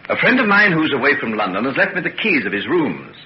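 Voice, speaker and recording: An actor playing a courteous, evasive gentleman doctor in an old-time radio serial, speaking politely and hesitantly with reassurance. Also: mid-range voice, big pitch swings, quick pace, close to an everyday voice. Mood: reassuring. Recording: muffled, noisy old radio recording.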